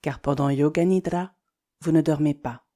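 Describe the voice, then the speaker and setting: Low, mellow woman guiding deep relaxation. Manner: calm, soothing, measured, with deliberate pauses. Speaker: yoga nidra guide. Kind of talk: guided relaxation meditation, slow instructions.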